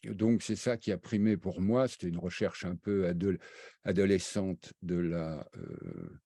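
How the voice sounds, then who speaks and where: Older man, deep, halting recollection. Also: lively intonation, gravelly, dark tone, no echo, clean studio sound. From raised half in Brazil, half in France. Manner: halting, many fillers and restarts. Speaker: interview guest, economist. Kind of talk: hesitant spoken recollection.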